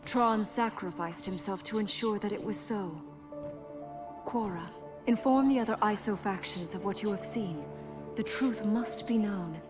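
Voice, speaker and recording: A woman playing a grave, regal leader in a video game, speaking in measured, formal and commanding phrases. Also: medium pitch, close to an everyday voice. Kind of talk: video game cutscene acting. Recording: roomy echo under loud music.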